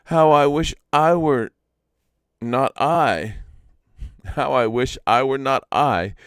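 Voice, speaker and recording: A man's voice: medium pitch, takes it slowly, fairly bright tone, smooth, no room sound, studio quality, voice pushed up. A man voicing a vain, envious spider fairy trickster from a storybook, sighing and imploring in a theatrical way. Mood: wistful pleading.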